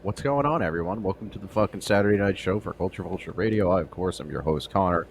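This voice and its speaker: Male comedy podcast host, low voice, crude casual banter. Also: fast, a little hiss or hum. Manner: loose, profane, joking.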